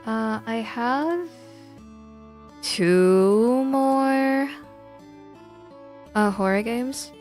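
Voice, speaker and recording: A woman's voice: on the high side, very slow, long pauses, darkish tone, faint music behind. A woman giving casual, informal livestream commentary on horror games, thinking aloud in a hesitant way. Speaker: video game livestreamer.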